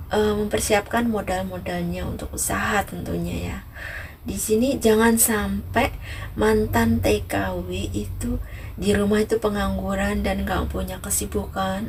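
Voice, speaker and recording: A woman's voice: medium pitch, vocal fry. A woman from East Java chats casually to viewers in a repetitive way, often adding small tags that seek agreement. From Ngawi, East Java, Indonesia. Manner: repetitive, frequent softening tags seeking agreement. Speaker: vlogger, migrant worker. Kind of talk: casual vlog chat to viewers. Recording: distant mic, noisy ambient background.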